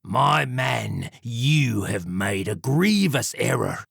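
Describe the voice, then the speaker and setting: A man voicing an arrogant, sneering gentleman surgeon who is a murderer, in an audiobook story, with a haughty, drawn-out delivery. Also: mid-range voice, very animated, vocal fry, breathy edge, dry recording, studio quality, heavily acted. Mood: threatening.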